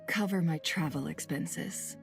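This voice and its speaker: A shrewd video game businesswoman, pitched on the low side. Her delivery is measured, cool and wry, with faint music underneath. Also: gravelly. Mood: sly, composed. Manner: measured, sardonic. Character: shrewd, cool, wry woman boss and deal-maker. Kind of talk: scripted video game voice acting.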